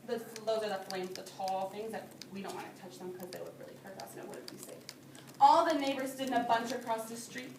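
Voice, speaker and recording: A high-pitched woman reads a children's story aloud in the manner of a classroom teacher, stopping to explain it simply. She is some way from the microphone in a roomy, echoing space, with a little background noise. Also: fairly even intonation, fast talker, distant mic. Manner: simple explanatory phrasing, addressing small children. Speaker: teacher reading a picture book aloud to young children. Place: indoor classroom.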